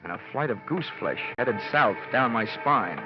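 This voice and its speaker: A male actor plays a wisecracking private investigator from old-time radio drama. His delivery is sardonic and slangy, with very lively intonation. The recording is vintage and muffled, with music behind it. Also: mid-range voice, normal speed, close to an everyday voice. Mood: dry narration. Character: wisecracking, cocky male freelance insurance investigator. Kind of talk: 1940s-50s radio detective drama acting.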